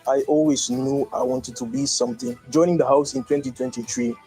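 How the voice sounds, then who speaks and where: A man's voice: mid-range voice, very animated, a little fast, vocal fry, warm tone, soft background music, rough recording. A young man speaking earnestly and reflectively in a testimonial. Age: young adult. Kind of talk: testimonial interview.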